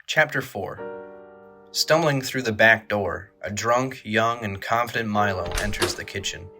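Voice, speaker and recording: Low, bright-voiced male drama narrator. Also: a little slow, faint music behind.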